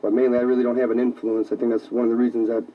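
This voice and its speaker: A man's voice: mid-range voice, level tone, rapid-fire. An American man, a rock guitarist, chatting casually in a radio interview, rambling and often saying "you know", on a lo-fi tape. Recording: distant, dull lo-fi radio aircheck tape.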